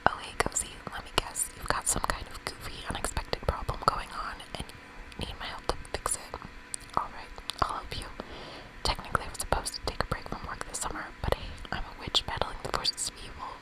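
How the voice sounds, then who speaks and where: A woman's voice: fairly quick, lightly acted, low-quality recording. Woman whispering as an earnest, restless young witch. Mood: resigned, dutiful. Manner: dramatic, fretful, solemn incantations. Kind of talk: whispered ASMR game read-aloud.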